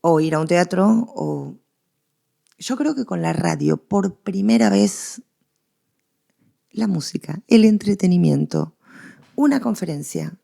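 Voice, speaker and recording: Middle-aged Argentine woman, deep gravelly register, emphatic academic interviewee who explains conversationally. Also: animated, slow, long pauses, dark tone. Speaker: guest historian interviewee.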